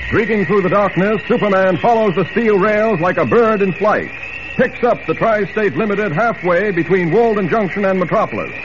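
A man's voice: sits high, moderate pace. A man narrating a 1940s radio adventure serial in dramatic scripted style. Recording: noisy, muffled old radio recording.

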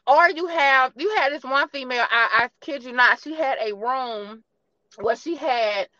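A high-voiced American woman hosts a podcast in casual, colloquial speech. She tells stories with emphasis and plenty of slang. The sound is close and dry, slightly lo-fi. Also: unhurried, sharp tone, no room sound. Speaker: podcast host. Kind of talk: casual animated chat and interviewing.

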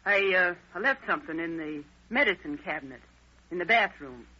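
A woman's voice: lowish voice, lively intonation, normal speed, sharp tone, husky, full character voice. An actress playing a nervous, apologetic woman, hesitant, stammering and polite, in an old radio crime drama. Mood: flustered. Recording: dull old radio recording.

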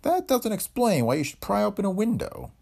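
A man's voice: high-pitched, very animated, brisk, vocal fry, warm tone, voice pushed up, full character voice. A man acting a blunt, intimidating police chief. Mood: accusing. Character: gruff shrewd police chief, threatening but grudgingly amused. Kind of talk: hardboiled detective audiobook dialogue.

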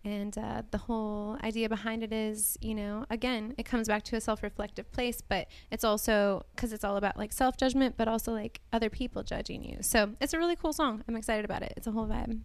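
A woman's voice: fairly high pitch, fairly even intonation, brisk, few pauses, clean tone, dry recording. A woman singer guesting on a radio show, chatty and full of likes and ums. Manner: chatty, filler-heavy. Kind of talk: casual interview.

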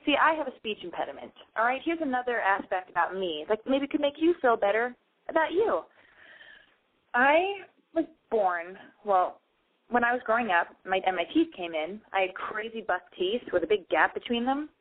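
A woman's voice: a little high, very animated, normal speed. Woman host with a lisp, rambling and self-deprecating, tinny phone-line audio. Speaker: radio show host. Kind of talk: casual call-in show monologue.

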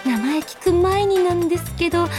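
A woman's voice: very high-pitched, normal speed, over music. An actress playing a polite, easily flustered young female office worker in a Japanese radio drama, speaking expressively. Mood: flustered, dismayed. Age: young adult. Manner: polite junior speech, expressive. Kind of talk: Japanese radio drama acting with narrated asides.

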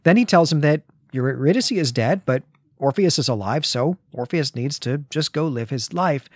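A man's voice: a little high, animated, normal speed, no echo, clean studio sound. A man co-hosting a conversational literary discussion, giving wry and opinionated commentary. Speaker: podcast co-host.

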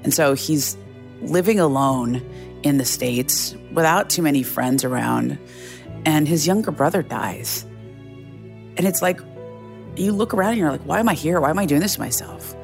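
A woman's voice: low-pitched, normal speed, gravelly, faint music behind. A middle-aged woman journalist telling a story in an earnest, reflective way, often restarting her phrases. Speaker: journalist guest. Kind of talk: conversational storytelling interview.

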